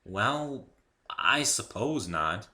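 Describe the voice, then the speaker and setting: A man's voice: lowish voice, very animated, extremely slow, sharp tone, heavily acted. A man voicing a polite, unsure young geeky man in a story reading, speaking in a hesitant, earnest way. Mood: nervous.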